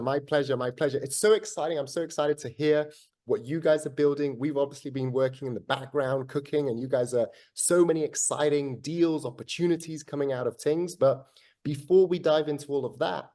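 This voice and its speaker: A man's voice: on the high side, fairly quick, mellow. A man hosting an interview podcast, chatting in an effusive, upbeat way and often repeating his phrases. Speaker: podcast host.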